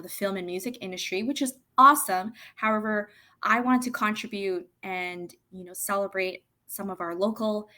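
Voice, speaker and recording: Woman host on a video call, enthusiastic, chatty, lots of "you know"s, mid pitch. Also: a bit bright. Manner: enthusiastic, chatty, many fillers. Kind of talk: live-streamed interview.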